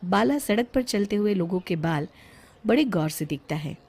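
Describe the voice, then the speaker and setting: A woman's voice: mid-range voice, animated, moderate pace, darkish tone, breathy edge. Woman narrating a scripted cartoon story.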